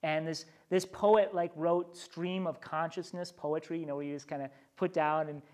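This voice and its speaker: An American man with a fairly high voice leads a small Bible study. He teaches conversationally, shifting between reading texts aloud and explaining them in plain, informal words. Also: steady tone, moderate pace, darkish tone.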